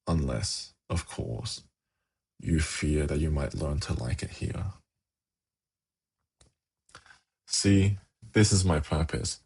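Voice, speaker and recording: Seductive demon prince, male actor, fantasy ASMR roleplay. Rumbling bass with heavy fry, slow, sly, intimate coaxing. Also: a little mellow, full character voice. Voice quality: gravelly, husky. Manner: slow, intimate, teasing, coaxing with formal wording.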